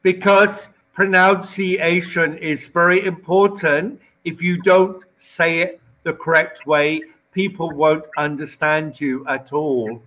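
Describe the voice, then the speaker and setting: An Englishman in his sixties with a southern London-like accent, patiently tutoring English over a headset video call, speaking slowly and clearly. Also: on the high side, fairly even intonation, bright, forceful tone, low-quality recording. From England. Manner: slow, clear, patient corrections. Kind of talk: casual video call lesson.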